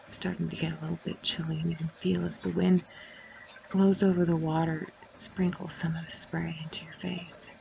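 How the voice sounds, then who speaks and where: A woman guiding a meditation in a soothing, unhurried way, with long pauses and breathy phrases that trail toward a whisper. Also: deep voice, little pitch movement, a little mellow, a little hiss or hum, low-quality recording. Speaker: guided meditation host. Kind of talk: guided meditation narration.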